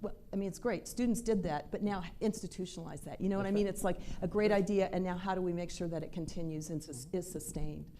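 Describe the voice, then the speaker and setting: Female administrator on a panel, earnest and thoughtful, mellow voice. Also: fairly low pitch, fast talker, few pauses, slight background noise. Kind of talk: unscripted panel remarks.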